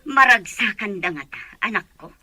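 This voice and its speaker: A woman's voice: mid-range voice, lively intonation, normal speed, sharp tone. A woman playing a mother who reasons about family matters in an Ilocano audio drama. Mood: thoughtful.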